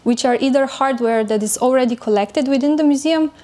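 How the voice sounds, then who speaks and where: A woman giving an academic conference presentation in English, speaking carefully with frequent pauses and fillers. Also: sits high, dark tone. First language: not German.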